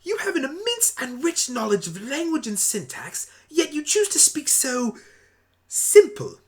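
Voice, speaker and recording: A man's voice: very high-pitched, huge pitch swings, unhurried, voice pushed up, heavily acted. A man voicing a brainy, flustered, eccentric time-traveling doctor, wordy and pedantic, in a fan audio drama. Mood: curious, pedantic.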